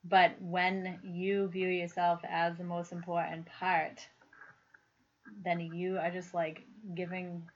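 A woman's voice: on the low side, level tone, takes it slowly, slight background noise. A woman in her forties co-hosting a casual, heartfelt talk, earnest and often searching for words. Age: 40s. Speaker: podcast co-host. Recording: dull lo-fi recording.

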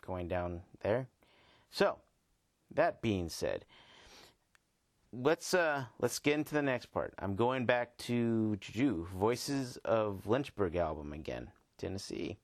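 A man hosting a podcast alone with casual commentary, phrasing things in halting, emphatic pieces. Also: fairly low pitch, big pitch swings, no echo, clean studio sound. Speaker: podcast host.

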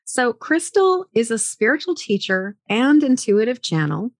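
A woman's voice: on the high side, big pitch swings, measured pace, dry recording. Chatty woman podcast host, dry sound. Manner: enthusiastic, chatty, rambling with fillers. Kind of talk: casual interview chat.

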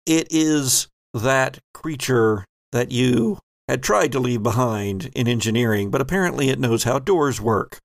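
A man runs a horror tabletop game as its game master. He describes scenes with suspense, taking his time in a gravelly, medium-pitched voice. The sound is dry. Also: a little slow, hardly any pauses, dry recording.

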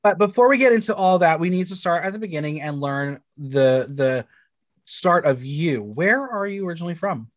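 A man with a somewhat raised speaking pitch hosts a relaxed interview. He asks questions and drops quick friendly interjections. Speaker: podcast host. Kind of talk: casual interview chat.

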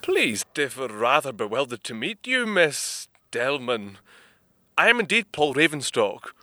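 An actor playing a polite, formal young inventor from the 1930s, speaking in old-fashioned British phrasing in an audio drama. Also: male voice, medium pitch, sing-song, measured pace, pushed and bright, dry recording, clean studio sound.

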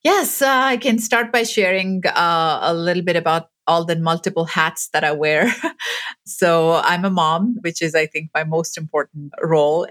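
A woman in her forties from Pakistan, raised in Abu Dhabi, telling her story as an interview guest in an earnest, measured way with hesitant fillers. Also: low-pitched, a bit bright. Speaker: guest, author and medical student.

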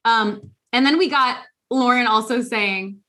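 A woman podcast host with a fairly high voice. She talks casually and with animation, rambling through her points with fillers and restarts. Her reactions come across as lively and exasperated. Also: unhurried. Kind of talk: casual chat.

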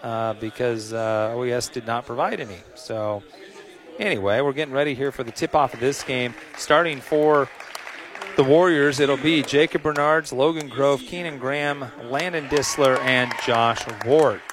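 A middle-aged American man, slightly hoarse, calling a pregame basketball broadcast in a rambling way, full of "uh" fillers and restarts. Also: medium pitch, steady tone, moderate pace, a bit bright, a faint crowd. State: a little hoarse. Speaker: play-by-play announcer. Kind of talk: pregame sports broadcast commentary. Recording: music in the background.

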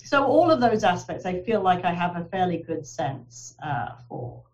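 A woman's voice: lowish voice, big pitch swings, normal speed, a little mellow, recorded from a distance, muffled recording. A woman from southern England, a company HR director, talking earnestly in a conversational interview with frequent fillers and restarts. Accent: southern English. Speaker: HR director, podcast guest.

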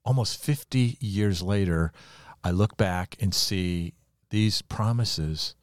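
Deep-voiced older man, chatting about faith. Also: slow, no room sound, clean studio sound. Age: 60s or older. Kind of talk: casual religious conversation with personal testimony.

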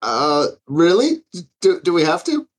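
A man's voice: fairly high pitch, huge pitch swings, a little slow, husky. A man playing a tabletop game, talking casually with friends. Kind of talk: casual banter.

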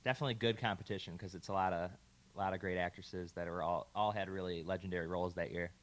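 Young man in his twenties, talk show host, low voice, short friendly prompts. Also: fairly even intonation, quick pace. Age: 20s. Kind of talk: casual interview.

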